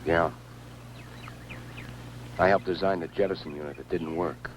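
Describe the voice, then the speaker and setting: Grim, terse engineer, low register, vintage science fiction film with faint soundtrack noise. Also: male voice, fairly even intonation, unhurried. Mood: bitter, troubled. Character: grim field engineer man blaming himself at crash site. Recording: noisy old film soundtrack.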